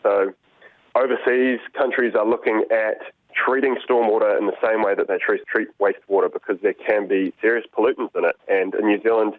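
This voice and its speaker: A man's voice: on the low side, fairly even intonation, fairly quick, no room sound. A man explaining a point in an earnest interview clip. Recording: phone line.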